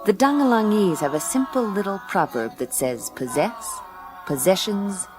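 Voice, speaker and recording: A woman's voice: medium pitch, animated, normal speed, lightly acted. An actress playing a tough, wisecracking woman detective in a radio play, dry, blunt and slangy. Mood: dry narration. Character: tough, wisecracking woman gumshoe narrating her case. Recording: music under the voice.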